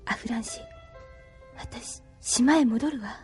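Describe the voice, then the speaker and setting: An actress playing a gentle, wistful young woman in a Japanese anime audio drama, speaking softly and tenderly. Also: on the high side, takes it slowly, breathy edge, exaggerated voice. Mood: wistful longing. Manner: soft, tender, apologetic. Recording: faint music behind drama voice.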